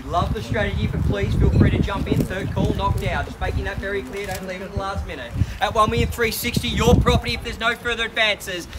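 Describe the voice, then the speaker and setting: A man with an Australian accent working as an auctioneer, calling bids at a live property auction on a suburban driveway outdoors and pressing the bidders to act quickly. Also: high voice, background noise. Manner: brisk, persuasive, pushing bidders to act.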